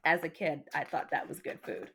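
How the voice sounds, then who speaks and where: A woman reading a picture book aloud like a teacher, in animated storytelling style, speaking directly to young listeners. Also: sits low, measured pace, creaky, husky. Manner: addresses child listeners directly, with questions.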